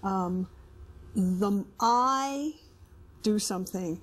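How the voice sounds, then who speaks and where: A woman's voice: medium pitch, extremely slow, slightly rough. A middle-aged woman talking as a couples therapist, explaining things in a counselling style. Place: indoor studio set with sofas.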